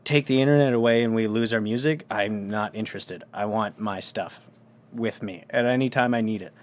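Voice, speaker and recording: A young man in his twenties chats easily as a guest musician. His voice is a touch low, and he talks in a relaxed manner with a ready laugh. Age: 20s. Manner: easygoing, chatty, quick to laugh. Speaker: band member, guest. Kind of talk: casual interview chat.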